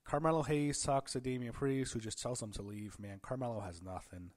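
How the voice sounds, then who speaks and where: A man fighting off a cold gives a loose, rambling recap in a voice that sounds a little off. He corrects himself often and fills gaps with "uh". He stops now and then to gasp or clear his throat. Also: medium pitch, a little fast, a little mellow. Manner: rambling, frequent self-corrections and fillers; gasping and clearing throat at times. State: under the weather, voice a bit off. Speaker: wrestling show reviewer. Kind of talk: casual show recap.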